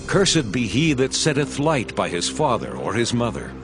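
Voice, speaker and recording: A stern priest, played by a man, calls out pronouncements with a broad, expressive pitch. A clear music bed runs beneath his voice in this dramatized scripture production. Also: moderate pace, natural voice. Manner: stern, proclaiming. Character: Levite priest crying out curses to a crowd.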